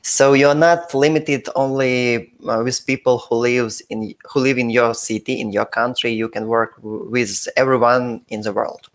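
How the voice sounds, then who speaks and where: A man's voice: medium pitch, fairly even intonation, measured pace, few pauses. A man with a Russian accent hosting a live-streamed interview, friendly and searching for words as he repeats his questions. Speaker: host, freelancer interviewing his client. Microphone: video call.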